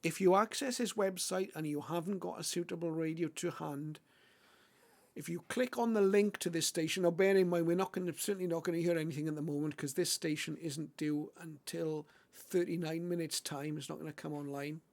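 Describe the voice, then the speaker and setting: A man's voice: fairly high pitch, steady tone, a little fast, vocal fry, darkish tone. A middle-aged or older man presenting an informal screen tutorial, explaining step by step in a loosely phrased, hesitant way. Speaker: presenter of a shortwave radio tutorial. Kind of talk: informal tutorial commentary over a website demo.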